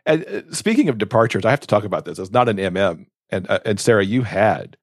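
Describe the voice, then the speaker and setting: A man's voice: fairly low pitch, big pitch swings, quick pace, dry recording, studio quality. A man hosting a podcast, talking casually and enthusiastically about books. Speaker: podcast host. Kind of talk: casual book chat on a podcast.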